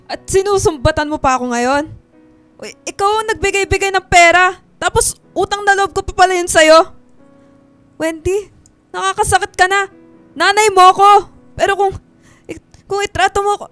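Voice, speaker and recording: An ailing older mother in a Filipino radio drama. She is indignant and melodramatic in a very high voice, guilt-tripping, recorded dry over soft music. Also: moderate pace, pauses often, no room sound. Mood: hurt, scolding.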